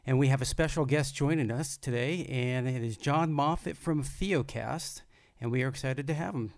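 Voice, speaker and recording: A man's voice: medium pitch, moderate pace, darkish tone, no echo, clean studio sound. A man hosting a podcast, welcoming a guest in an upbeat, friendly way. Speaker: podcast host. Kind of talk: casual podcast interview.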